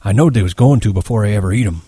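A man's voice: fairly low pitch, rapid-fire, mellow, husky, no room sound, studio quality. A man voicing an old ailing ranch swamper with folksy, complaining speech in a story.

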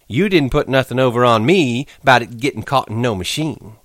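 A man voicing a lonely, restless young woman on a ranch, talking in a quick, coaxing, pleading way. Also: mid-range voice, a bit bright, no echo, clean studio sound, voice pushed up, natural voice. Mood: teasing. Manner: pleading, fast-tumbling, coaxing.

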